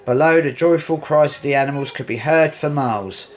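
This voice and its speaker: A man reading a children's story aloud in an expressive read-along style, with emphatic delivery. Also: fairly high pitch, brisk, a little hiss or hum.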